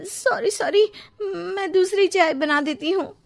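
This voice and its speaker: A woman voicing a fussy, fitness-obsessed young daughter-in-law who is quick to apologise, in a storybook style. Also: very high-pitched, vocal fry, voice pushed up, heavily acted. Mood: flustered, apologetic. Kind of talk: storybook character voice.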